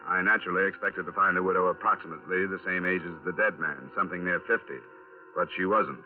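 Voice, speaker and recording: Fast, low-voiced hard-boiled radio detective, played by a man. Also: fairly even intonation, faint music behind, close to an everyday voice. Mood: matter-of-fact. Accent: American. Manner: clipped, matter-of-fact, hard-boiled delivery. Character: brisk wry male insurance investigator narrating his case. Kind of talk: 1950s radio detective drama acting. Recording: tinny vintage 1950s radio broadcast.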